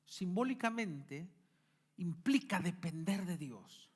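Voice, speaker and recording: Male pastor, high lively voice, emphatic preaching style. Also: takes it slowly, slightly rough. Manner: emphatic preacher, rising and dropping to quiet asides. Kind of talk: sermon. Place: church.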